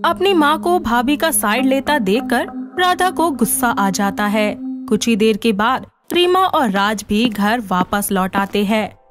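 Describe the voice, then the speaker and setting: A woman's voice: a little high, lively intonation, measured pace, faint music behind. A woman reading scripted story narration as the narrator.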